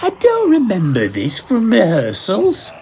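A man's voice: very high voice, huge pitch swings, unhurried, a little mellow, a little hiss or hum, voice pushed up, full character voice. A man doing a drunk, ranting old ham actor. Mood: drunken ranting. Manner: slurred, ranting, indignant. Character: drunken slurring male pantomime actor.

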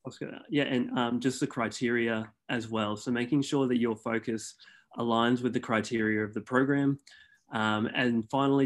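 A man explaining the award submission process on a webinar in a measured way, often pausing on ums. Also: mid-range voice. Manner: measured, many ums and restarts. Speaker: awards consultant guest.

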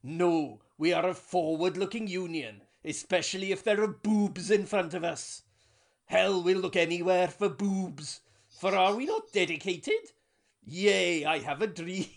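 A man's voice: a little slow, gravelly. A man doing a pompous Welsh union boss. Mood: grandiose. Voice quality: booming, grandiose rally oratory. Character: pompous Welsh male trade union leader orating. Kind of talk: sketch comedy performance.

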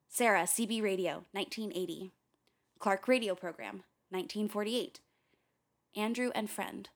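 A woman acting a defiant, protective young woman who is intense and sharp-tongued, in a radio play. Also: mid-range voice, natural voice. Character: defiant, protective young woman, a sailor investigating family tapes.

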